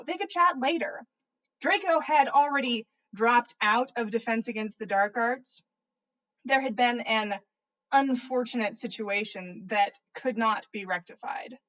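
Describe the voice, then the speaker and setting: A woman with a fairly high voice hosts a story reading. She delivers comedic fan fiction playfully, with amused, theatrical expression. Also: a bit bright, poor audio. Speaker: podcast host reading listener stories.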